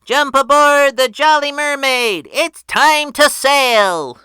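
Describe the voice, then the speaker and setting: A woman voicing an excited young boy who shows off his inventions, calling out like a showman. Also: slow, no echo, studio quality, heavily acted. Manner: shouty and showman-like, booming announcements.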